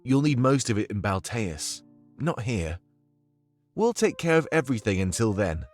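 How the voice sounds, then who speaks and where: Man voicing a reassuring comrade-in-arms, lively intonation. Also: mid-range voice, moderate pace, faint music behind, close to an everyday voice. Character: supportive male comrade overseeing a rebel fleet. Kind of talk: visual novel character dialogue.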